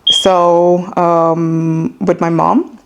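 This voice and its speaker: Woman being interviewed, mixed slightly american accent, lowish voice w lots of creak, chatty. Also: little pitch movement, very slow, muffled recording, noisy background. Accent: multicultural mixed accent with some American. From born in Ghana, grew up in Italy. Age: 30s to 40s. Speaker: interview guest. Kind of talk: conversational interview answers. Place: her own home, indoors.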